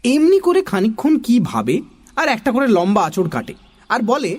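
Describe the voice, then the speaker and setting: High-pitched expressive male storyteller. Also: moderate pace. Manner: expressive, acting out a puzzled child's lines. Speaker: narrator. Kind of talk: dramatised children's story narration.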